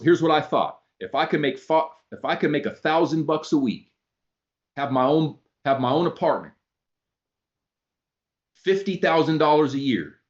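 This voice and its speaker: A man giving a motivational talk to a group, animated, acting out both sides of his stories. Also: a little high. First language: not English. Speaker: motivational speaker. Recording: dull lo-fi sound.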